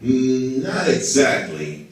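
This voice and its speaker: A self-important religious official puppet, acted by a man, formal and evasive, with a mid-pitched voice. The sound is very echoey and picked up from far off in a room. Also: big pitch swings, slow pace. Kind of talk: scripted puppet show dialogue. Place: indoor hall, behind a puppet stage. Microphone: far camera in the audience. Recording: echoey room, distant audience camera.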